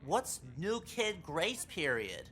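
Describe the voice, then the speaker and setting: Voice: medium pitch, very animated, slow, fairly bright tone. An actor playing an awkward, overeager nerdy teenage boy in a visual novel game, chummy, boastful and defensive. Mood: eager, nervous.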